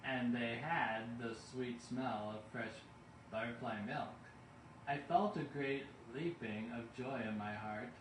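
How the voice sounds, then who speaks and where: Slow-paced man reading a bedtime story. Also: mid-range voice. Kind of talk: expressive rhymed storybook narration. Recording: roomy, distant mic, faint background noise.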